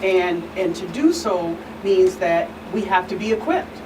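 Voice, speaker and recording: A woman speaking as an expert guest on a panel, deliberate and emphatic, repeating phrases for stress. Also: on the low side, animated. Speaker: guest expert, attorney and pastor. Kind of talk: panel discussion, earnest explanation. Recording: distant mic, clearly noisy room hum.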